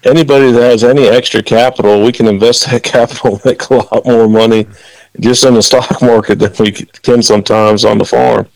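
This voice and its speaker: Guy from the dominican republic, gravelly low voice, dry sound, lots of uhs. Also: steady tone, brisk, darkish tone, no room sound, poor audio. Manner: earnest, many fillers. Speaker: guest farmer. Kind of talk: radio interview.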